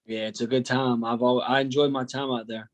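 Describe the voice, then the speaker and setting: Man, wrestling coach, casual, joking, medium pitch. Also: little pitch movement, fairly quick. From United States. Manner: easygoing, joking, uses slang. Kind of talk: casual interview.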